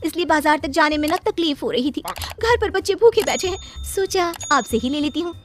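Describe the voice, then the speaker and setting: Scheming young daughter-in-law for a cartoon, female actor, high and lively, wheedling, quick to take offence. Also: slight background noise. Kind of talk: cartoon story dialogue.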